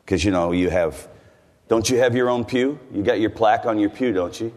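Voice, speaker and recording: Older american guy preaching, real low voice, folksy and rambly, big echoey church hall. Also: fairly quick, a little mellow. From United States. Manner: folksy, rambling, with asides to the congregation. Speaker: visiting preacher. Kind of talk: sermon. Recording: long hall reverb.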